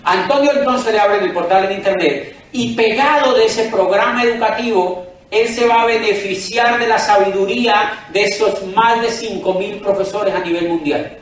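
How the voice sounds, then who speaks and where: A man's voice: high-pitched, moderate pace, recorded from a distance. A Colombian man giving a persuasive sales presentation to a live audience, asking them questions directly. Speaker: business presenter. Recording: echoey room, speaker away from the mic.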